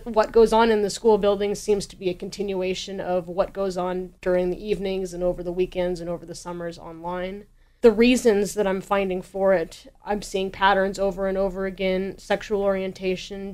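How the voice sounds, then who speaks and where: A woman's voice: medium pitch, a little mellow, clean tone. A woman speaking as an interview guest and campaigner, explaining things in an earnest, emphatic way.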